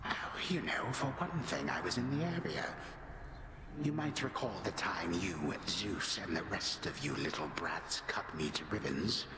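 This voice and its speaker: An ancient titan villain from a video game, theatrical and falsely pleasant. His delivery swings widely in pitch as he needles with old resentment. It echoes, with loud noise behind it. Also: animated, a little slow. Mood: sardonic menace.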